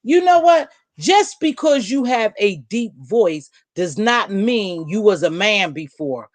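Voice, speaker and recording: A middle-aged woman with a deep voice, hosting a casual livestream from home and chatting bluntly with viewers, calling them baby and girl. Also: very animated, slow, dry recording. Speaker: livestream host. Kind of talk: casual live chat, answering viewer comments.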